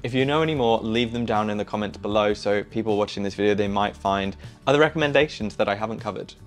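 A man's voice: fairly low pitch, fast, faint music behind. A young man giving enthusiastic, chatty travel tips to camera while seated in a small living room. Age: young adult. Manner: easygoing, enthusiastic, chatty with fillers. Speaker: travel vlogger. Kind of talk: casual travel recommendations to camera.

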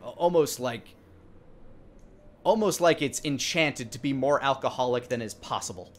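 A man in his thirties narrating a tabletop role-playing game as game master, in a halting, hesitant way. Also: medium pitch, expressive. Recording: clear background noise.

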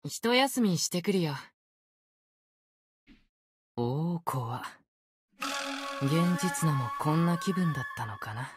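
A man's voice: fairly high pitch, lively intonation, slow, pauses often, music in the background. A man voicing an easygoing, unfazed ancient sword spirit in youthful male form for a game, with languid, nonchalant phrasing. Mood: airy, nonchalant. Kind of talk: Japanese game character voice acting.

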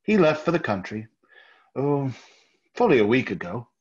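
A man playing a nervous, overpolite murderer hiding his guilt, halting and husky, in old-time radio theater style. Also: mid-range voice, big pitch swings, a little mellow. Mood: smooth, evasive. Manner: halting, over-courteous, stammering. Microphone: video call. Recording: dull, lo-fi video call audio.

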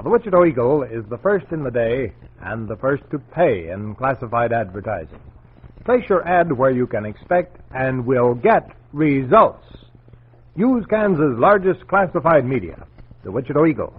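A man's voice: mid-range voice, breathy edge. An American man announcing a scripted 1940s radio program in a formal, declamatory way. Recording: tinny vintage 1940s radio broadcast.

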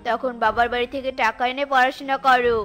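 A woman's voice: on the high side, flat delivery, quick pace, a bit bright, lightly acted. A woman voicing a harsh, dismissive young husband in a cartoon. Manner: scolding, curt. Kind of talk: cartoon voice acting.

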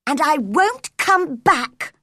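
A woman's voice: high-pitched, very animated, slow, no echo, studio quality, pitched above the performer's own voice, exaggerated voice. A woman voicing an angry, bossy little girl who is blunt, stubborn and scolding, in a storybook reading. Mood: defiant. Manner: cross, scolding, emphatic.

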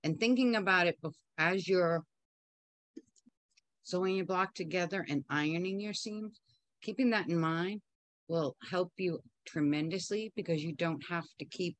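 A woman's voice: on the low side, a little slow, dry recording. Woman, middle-aged, quilting teacher, chatty and practical, dry close sound. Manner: chatty, practical, talks while working. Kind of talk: casual sewing tutorial. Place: home sewing studio.

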